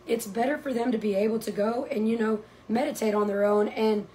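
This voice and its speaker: A woman's voice: fairly high pitch, steady tone, warm tone, a little hiss or hum. A woman in her twenties chatting casually, rambling with many fillers. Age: 20s. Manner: fast, rambling, leans on "you know". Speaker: stable staff member. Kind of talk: casual chat.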